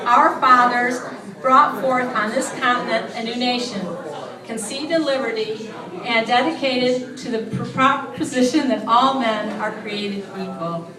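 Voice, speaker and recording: A woman with a fairly high voice recites a historic speech as an amateur reader. She sounds distant in an echoey bar, with people audible around her. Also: measured pace, crowd noise. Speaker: amateur reader in a group reading. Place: indoors in a bar.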